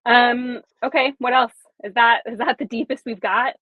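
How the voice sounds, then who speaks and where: A woman's voice: fairly high pitch, fairly even intonation, normal speed, no room sound. A woman hosting a casual fan chat, joking in a wry way, recorded with poor, thin audio. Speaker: host. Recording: thin phone-like audio, very dry.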